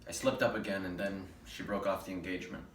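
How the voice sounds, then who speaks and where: A man's voice: deep voice, fairly even intonation, fast talker, crowd noise, natural voice. A man playing a guilt-ridden young man with a secret addiction, in a rehearsed stage monologue. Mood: guilty confession. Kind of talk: rehearsed readers theater monologue. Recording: roomy distant mic with background noise.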